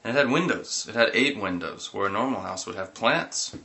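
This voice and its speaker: A man reading a comic story aloud, animated, breaking into giggles and sudden yelps. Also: lowish voice, a little fast, gravelly, background noise. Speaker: reader.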